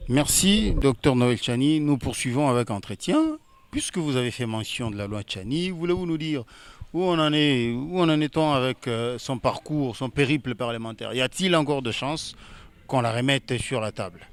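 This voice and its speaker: A man's voice: medium pitch, lively intonation, moderate pace, clean tone. A man, asking questions as an interviewer in a formal interview style.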